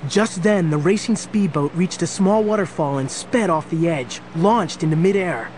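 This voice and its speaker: An actor performing as the narrator of a superhero audio drama, telling it in a polished, suspenseful scripted style. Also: male voice, high-pitched, normal speed, darkish tone, slight background noise, lightly acted. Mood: dramatic. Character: dramatic male storyteller of a superhero adventure. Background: dramatic music.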